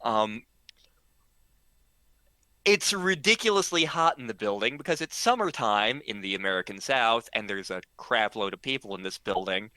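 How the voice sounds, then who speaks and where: A man casually telling a comic anecdote to friends in a halting, stammering way. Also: lowish voice, expressive, sharp tone, dry recording. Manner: halting and stammering, acts out dialogue. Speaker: storyteller.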